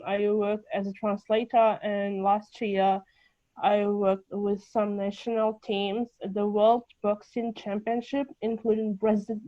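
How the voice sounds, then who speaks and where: A woman's voice: medium pitch, dark tone, no room sound, highs cut off. A woman from Russia with a Russian accent, an English teacher introducing herself in an online group call, speaking hesitantly.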